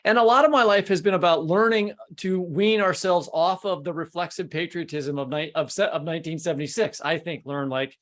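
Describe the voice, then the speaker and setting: A man in his fifties with a somewhat high-pitched voice hosts a discussion. He speaks casually and haltingly, restarting and qualifying as he works toward his point. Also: a bit bright. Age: 50s. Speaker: podcast host.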